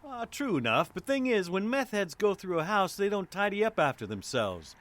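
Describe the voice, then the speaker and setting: A man's voice: high voice, very animated, moderate pace, a bit bright. An American man playing a mild, shrewd police detective in an audio drama, polite and folksy as he probes. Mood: probing. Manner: folksy, polite, hesitant lead-ins. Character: mild-mannered, shrewd male police detective, quietly probing.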